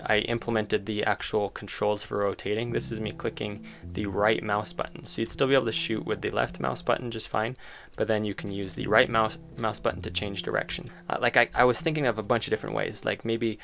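A man's voice: lowish voice, vocal fry, fairly bright tone. A man giving casual, informal demo commentary as a game developer, enthusiastic and thinking aloud as he goes. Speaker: game developer presenting own project. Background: music behind the voice.

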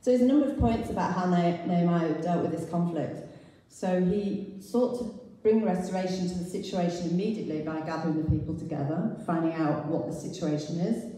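A woman's voice: on the low side, a little fast, hardly any pauses, warm tone. A middle-aged woman giving an informal, explanatory sermon with scripture readings as a church speaker in a large hall. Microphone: handheld mic through speakers, picked up by distant camera. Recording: very echoey hall, far from the camera.

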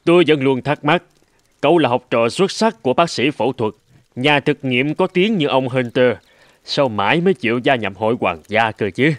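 A man voicing a grave older gentleman physician and mentor in a dubbed cartoon, speaking in a measured, formal, earnest way. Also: mid-range voice, animated, no echo, lightly acted. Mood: welcoming, formal.

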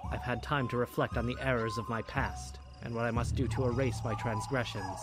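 A man voicing a composed, solemn blind priestess in a comic dub, with measured, formal and pious speech. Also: medium pitch, steady tone, natural voice. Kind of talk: dramatic comic dub narration. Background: loud music.

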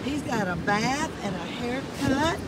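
A woman's voice: a little high, animated, measured pace, a loud crowd. A woman in her fifties with a Southern US accent, chatting casually and folksily as co-host of a livestream. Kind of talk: casual chat. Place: outdoors on a windy ocean beach. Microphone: partner's phone at arm's length. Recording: outdoor phone, surf, wind, background babble.

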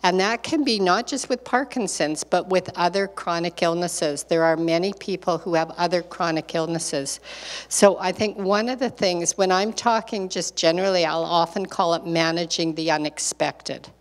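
An older woman speaks to an audience as a care partner, earnest and encouraging, coming back to her key points. Her voice sits somewhat low. She holds a microphone, and the sound rings with the long reverb of a big meeting hall. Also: fairly even intonation, moderate pace. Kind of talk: panel talk, advice to audience. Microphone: handheld microphone.

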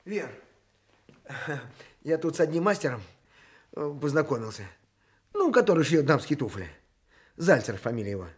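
A man's voice: a little high, big pitch swings, normal speed, long pauses. An actor playing an eager, jovial husband and father in a radio play, informal and coaxing. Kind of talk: Soviet radio play acting.

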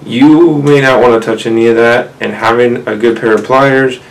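A man's voice: medium pitch, steady tone, normal speed, creaky, rough recording. A man, casually explaining fishing gear to camera from a small room, often asking "right?". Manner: conversational, addresses viewers as guys, frequent "right?". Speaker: outdoors channel host. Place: small indoor room, seated at desk.

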